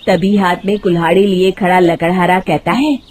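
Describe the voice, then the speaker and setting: A woman's voice: fairly low pitch, steady tone, moderate pace, darkish tone. A woman narrating a scripted moral tale in a dramatic storytelling style.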